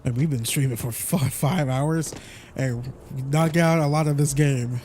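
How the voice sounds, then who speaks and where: A man's voice: medium pitch, unhurried, a little mellow, soft background music. A man doing casual, slangy live gameplay commentary, thinking aloud as he plays. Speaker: video game livestreamer.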